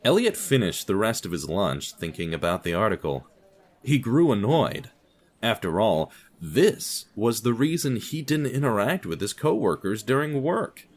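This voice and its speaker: A man narrating scripted audio fiction in a theatrical, wry and deliberate storyteller's delivery. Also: on the low side, very animated.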